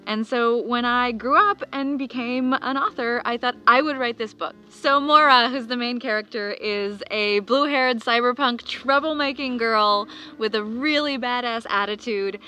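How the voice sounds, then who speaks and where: Bright-voiced woman author over music. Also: on the high side, measured pace. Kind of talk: conversational talk about own book. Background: background music.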